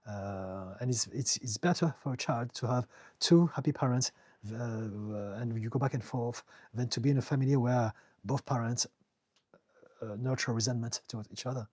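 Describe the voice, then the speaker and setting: A middle-aged man from France with a French accent, calmly reading viewer questions and answering them as a therapist on a live stream. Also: medium pitch, moderate pace, breathy edge, no room sound. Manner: calm, reassuring, reads out viewer comments with light laughs. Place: home in the UK.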